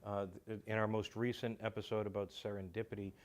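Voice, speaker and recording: Low-voiced man, halting and enthusiastic. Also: little pitch movement. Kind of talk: casual talk show conversation.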